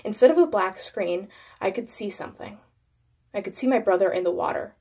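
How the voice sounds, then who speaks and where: A woman with a mid-pitched voice narrates a scary story. She reads from a script in a dramatic storytelling style. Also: lively intonation, a little fast, dark tone, husky.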